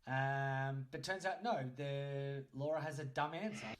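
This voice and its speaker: A man's voice: mid-range voice, measured pace. A man hosting a casual chat in a teasing, jokey way. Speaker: podcast host. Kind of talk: casual quick-fire interview chat.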